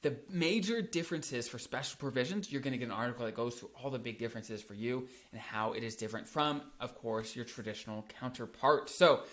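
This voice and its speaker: A man hosting a video as a financial advisor, explaining in an upbeat, casual way, with lively emphatic peaks and quick restarts. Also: mid-range voice.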